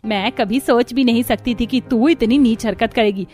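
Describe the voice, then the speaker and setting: A woman's voice: on the high side, normal speed, a little mellow, soft background music, natural voice. A woman playing a stern middle-aged mother-in-law in a cartoon family melodrama. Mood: furious scolding.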